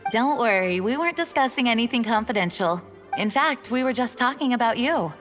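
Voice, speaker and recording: A composed, responsible female knight commander in an animated game. She speaks in a fairly high voice, politely and formally. There is long reverb and music behind her. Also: brisk. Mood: composed, reassuring. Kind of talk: animated video game voice acting.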